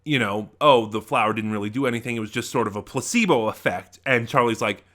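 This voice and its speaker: A man giving a casual, rambling review, halting and correcting himself, with deliberate emphasis on key words. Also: medium pitch, fairly bright tone. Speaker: audio drama reviewer.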